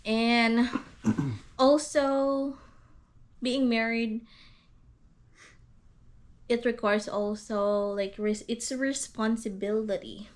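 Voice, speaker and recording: A woman's voice: on the high side, steady tone. Filipina woman talking casually on a couple vlog, lots of ums and restarts, laughs a bunch. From Philippines. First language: Hiligaynon. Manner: halting, many fillers and restarts, laughs often. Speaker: vlog co-host.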